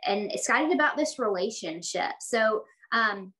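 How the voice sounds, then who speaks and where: A woman's voice: on the high side, lively intonation, unhurried, a bit bright. Thirties woman host, friendly and chatty with ums, on a video call from an office. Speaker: church missions host. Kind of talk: interview introduction. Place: office room.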